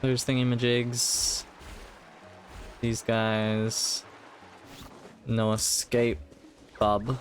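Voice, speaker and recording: A man's voice: medium pitch, flat delivery, drawn-out words, long pauses. A man doing casual live game commentary, muttering short playful reactions as he plays. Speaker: video game streamer. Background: game music.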